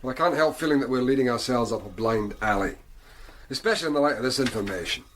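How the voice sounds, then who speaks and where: Man doing a deliberate detective chief, radio play, mid-pitched voice, faint hiss. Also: natural voice. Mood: grave. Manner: calm, deliberate, authoritative. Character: authoritative male detective chief inspector. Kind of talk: 1990s radio drama acting.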